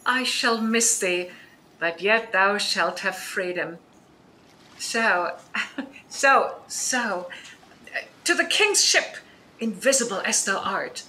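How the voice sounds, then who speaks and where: A woman playing a grave, commanding sorceress and wronged duchess in a Shakespearean radio play, declaiming grandly and deliberately. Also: medium pitch, animated, pushed and bright, a little hiss or hum. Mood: fond, commanding. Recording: roomy home recording made online.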